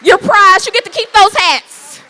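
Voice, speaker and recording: A loud woman in her forties speaks to an audience, playful and animated, her pitch leaping all over. Her voice is bright and forceful. The sound rings through a big echoey hall. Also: measured pace. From St. Louis, USA. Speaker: guest speaker. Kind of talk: lively public talk with audience games.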